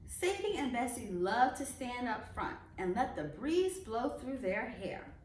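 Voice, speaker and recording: A woman reading a children's picture book aloud in an expressive storytelling way. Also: on the high side, unhurried. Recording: echoey room, distant mic, faint noise.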